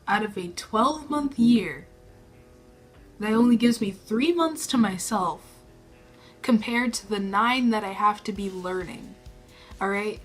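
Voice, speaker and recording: Subdued teenage girl rambling low. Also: a bit bright, low-quality recording. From New York State. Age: teens. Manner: low subdued voice, self-conscious, rambling with many fillers. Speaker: vlogger. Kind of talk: casual rambling to camera. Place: her bedroom. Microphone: camera in her room.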